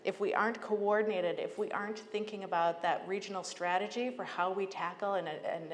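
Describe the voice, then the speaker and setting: An American woman giving an earnest, persuasive prepared speech as a public agency leader, with emphatic delivery. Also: fairly low pitch. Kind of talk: prepared advocacy speech to a conference. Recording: echoey hall, clear background noise.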